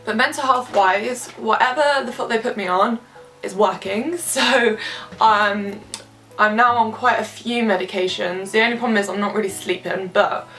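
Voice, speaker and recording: A young woman in her early twenties, a little stuffy from a cold, talks candidly to camera while doing her makeup. Her voice is mid-pitched and sits some way from the mic, with faint music underneath. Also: moderate pace, bright, forceful tone, distant mic, low-quality recording. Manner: candid, chatty, heavy use of filler words. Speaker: vlogger. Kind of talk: casual chat to camera. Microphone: camera some distance away.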